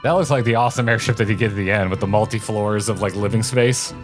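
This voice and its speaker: A man with a fairly low voice gives casual reaction commentary as a gaming co-host. He is enthusiastic and uses plenty of slang. Faint music plays behind his voice. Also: fast.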